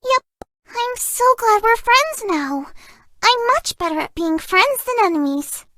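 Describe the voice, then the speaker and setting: A woman voicing a shy, sweet, excitable young female fox spirit for a video game, with a cutesy girlish voice. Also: very high voice, a little slow, dry recording, studio quality, heavily acted. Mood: cheerful, eager. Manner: bashful, earnest, playful. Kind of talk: video game character voice lines.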